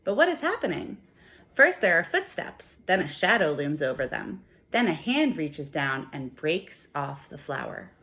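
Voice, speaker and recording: A woman teacher reading a picture book aloud to children, with expressive, emphatic delivery. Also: low-pitched, unhurried.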